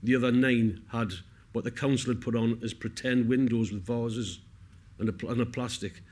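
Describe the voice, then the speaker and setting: A man's voice: medium pitch, fairly even intonation, moderate pace, darkish tone. A man with a North East English accent, speaking earnestly and emphatically at a public Q&A, repeating words for weight. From north-east England. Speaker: film cast member. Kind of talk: heartfelt speech at a public Q&A. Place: on stage at a cinema Q&A.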